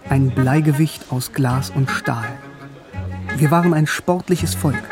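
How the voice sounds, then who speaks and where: An actor playing a bookish young East German philosopher in a radio drama, narrating and talking with wry, musing irony. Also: male voice, medium pitch, slow, over music, natural voice.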